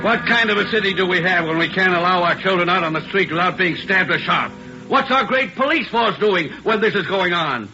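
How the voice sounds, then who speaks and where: A man's voice: sits high, animated, fairly quick, music in the background. An actor playing an indignant, defensive middle-aged American father, blustering and argumentative in an old radio drama. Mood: outraged. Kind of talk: 1950s radio drama acting. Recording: muffled old radio recording.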